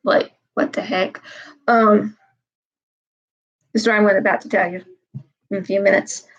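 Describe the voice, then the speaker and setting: A woman's voice: medium pitch, level tone, measured pace, dull audio. A woman in her twenties chatting casually on a live stream, wandering and repeating herself. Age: 20s. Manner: meandering, repeats herself, frequent "um". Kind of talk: rambling casual live chat.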